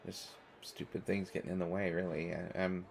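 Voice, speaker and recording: A man's voice: low-pitched, little pitch movement, moderate pace, gravelly, a little hiss or hum. A man playing a stressed, self-deprecating airport stranger in a conversational audio roleplay, talking in hesitant, rambling stammers. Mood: hesitant, wry.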